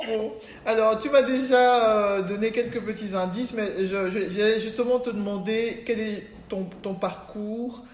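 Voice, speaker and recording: A woman's voice: mid-range voice, fairly even intonation, unhurried, echoey room, slight background noise. A woman hosting a podcast, enthusiastic and chatty, with plenty of fillers. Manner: enthusiastic, warm reactions and fillers. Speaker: podcast host.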